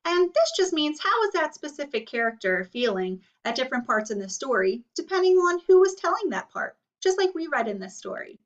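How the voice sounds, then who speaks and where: A woman's voice: high-pitched, very animated, a little fast, few pauses. A woman teacher leading a read-aloud lesson for children, explaining and asking questions. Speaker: elementary school teacher. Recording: slightly muffled, distant microphone.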